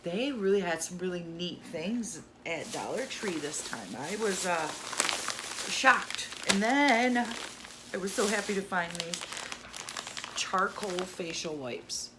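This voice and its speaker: A woman's voice: fairly low pitch, expressive, slow pace. A woman talking through a shopping haul in a casual, gushing way, losing her voice to a cold. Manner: chatty, gushing over cheap finds. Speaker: haul vlogger. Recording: audible noise in the background.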